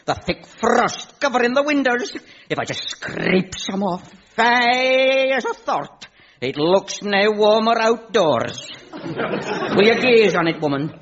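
An actor playing a gloomy Scottish Highland servant in a kilt, talking in broad dialect in a comedy sketch. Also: male voice, lively intonation, slow pace, exaggerated voice. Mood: dour. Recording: dull sound with loud crowd.

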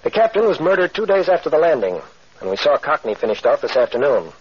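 An actor playing a brave, steady male adventurer captain who speaks firm and matter-of-fact, on an old radio recording. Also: a little high, fast, breathy edge. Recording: muffled old radio broadcast.

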